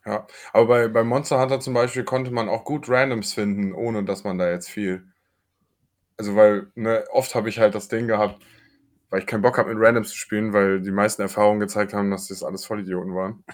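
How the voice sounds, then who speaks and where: A man's voice: on the low side, steady tone, brisk. A man co-hosting a casual gaming podcast, talking in a blunt, slangy, jokey way. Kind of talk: casual chat about video games.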